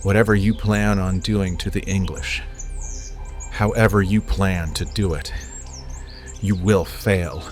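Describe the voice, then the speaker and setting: A man playing a solemn, resolute mystical warrior in an audio drama, speaking in a grave, measured, philosophical way. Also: low voice, fairly even intonation, soft background music. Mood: defiant.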